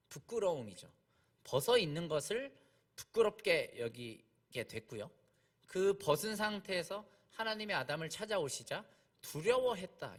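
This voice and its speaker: Male preacher, slightly high voice with highly varied intonation; explains conversationally, repeats key points, asks rhetorical questions. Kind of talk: conversational expository sermon.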